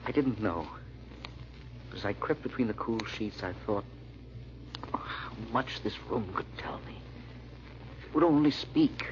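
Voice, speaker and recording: Earnest amateur sleuth on muffled radio. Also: male voice, fairly low pitch, expressive, measured pace, long pauses. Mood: haunted, brooding. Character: haunted, earnest gentleman amateur sleuth narrating his search. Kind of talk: first-person old-time radio drama narration. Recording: muffled old radio recording with hiss.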